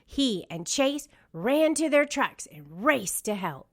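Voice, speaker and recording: A woman narrates a children's storybook. She reads slowly and deliberately, with very lively swings in pitch to keep the storytelling animated. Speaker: storybook reader. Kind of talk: scripted children's read-aloud narration.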